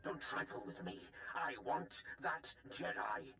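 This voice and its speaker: An actor playing a vengeful, grieving Sith lord admiral who gives menacing, contemptuous commands in a game. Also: male voice, mid-range voice, big pitch swings, slow pace, vocal fry, bright, forceful tone, slight background noise, heavily acted.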